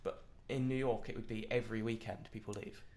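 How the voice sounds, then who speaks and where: A man's voice: fairly low pitch, fairly even intonation, brisk, a little mellow. A young man as a podcast guest in casual chat, rambling and laughing often.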